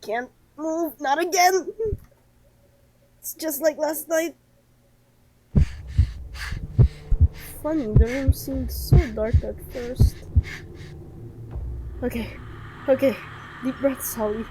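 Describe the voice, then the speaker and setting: A woman voicing an anxious young female heroine who talks herself calm in a horror visual novel. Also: high voice, takes it slowly, pauses often, very noisy. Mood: frightened. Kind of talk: visual novel read-aloud acting.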